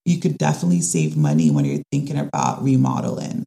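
A woman, a design specialist talking through her ideas in a casual interview, often pausing and restarting. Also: very deep voice, big pitch swings, gravelly, warm tone. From Caribbean. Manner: thoughtful, with frequent restarts and fillers. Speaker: design and construction specialist guest.

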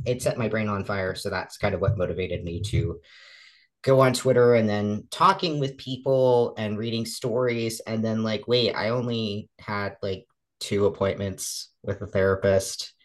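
A woman in her twenties with a relatively deep voice, a guest talking casually in an interview. She acts out the remarks she quotes and talks with many fillers and restarts. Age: 20s.

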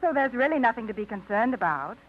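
A woman's voice: on the high side, very animated, fast talker. A flustered genteel small-town lady. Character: genteel nervous small-town woman librarian. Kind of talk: 1950s radio drama acting. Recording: dull old radio broadcast, faint hiss.

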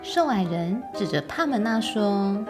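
A woman's voice: medium pitch, expressive, measured pace. Woman storyteller over music. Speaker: storyteller, narrator. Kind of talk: scripted children's story narration.

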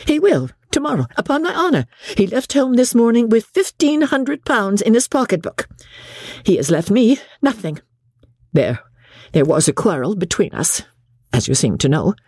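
A woman's voice: big pitch swings, moderate pace, darkish tone, slightly rough, dry recording, studio quality, heavily acted. A woman voicing an indignant, imperious lady of the house in an audiobook. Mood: bitter, pleading. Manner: imperious, shrill outbursts.

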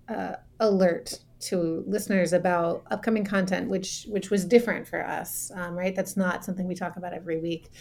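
Gravelly-voiced woman podcast host. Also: fairly low pitch, a little mellow. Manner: engaged, with fillers. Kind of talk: panel discussion.

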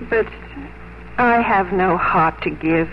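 An actress playing a gentle, sincere young English gentlewoman in a 1950s radio drama, earnest, hesitant and formal. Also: fairly low pitch, takes it slowly. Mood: troubled, wistful. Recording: muffled, noisy 1950s radio recording.

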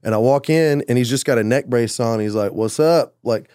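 Brief: laid-back male musician telling stories, meandering delivery, mid-pitched voice, dry close sound. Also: moderate pace, mellow, no echo. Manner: rambling, loose, full of "like" and "you know". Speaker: musician guest. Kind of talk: casual conversation.

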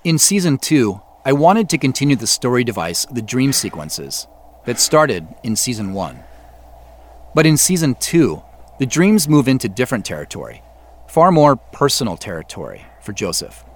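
A middle-aged man with an American accent, giving measured, explanatory scripted commentary as the creator of a show. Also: mid-range voice, very animated. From US Pacific Northwest. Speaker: audio drama creator and host. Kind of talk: scripted behind-the-scenes commentary.

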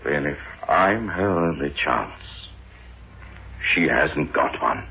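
An actor playing a haunted, tormented man in a 1960s radio horror drama, with dramatic, anguished, halting delivery. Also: a bass voice, animated, creaky, exaggerated voice. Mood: anguished, defiant. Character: haunted, tormented man, a reluctant blood donor recounting a nightmare. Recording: muffled old radio recording with music.

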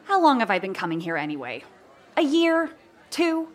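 Brash, world-weary barfly for audio drama: female, high voice, very animated, foul-mouthed. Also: moderate pace. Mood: playful, bantering. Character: hot-tempered, hard-drinking, worn-down tentacled woman bar regular.

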